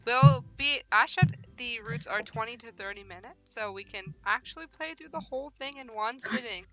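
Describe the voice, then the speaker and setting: A woman hosting a casual game live stream, expressive and slangy in her banter. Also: fairly high pitch, normal speed. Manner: slangy, expressive, prone to giggling. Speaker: streamer hosting a visual novel playthrough.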